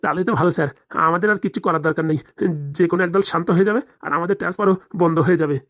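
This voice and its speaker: A man's voice: sits high, racing, a bit bright, no echo. A man voicing timid, fretful police officers in a comedy cartoon, hesitant, stammering and deferential. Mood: worried, fretful. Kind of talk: comic cartoon dialogue.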